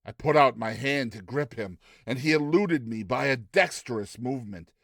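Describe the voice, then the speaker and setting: A man reading a classic story aloud as a theatrical, expressive narrator with deliberate delivery. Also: medium pitch, creaky, no room sound, studio quality. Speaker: narrator, reader.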